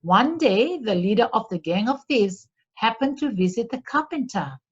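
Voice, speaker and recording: A woman narrating a storybook in a deliberate, expressive read-aloud manner for children, on a slightly dull recording. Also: on the high side, husky.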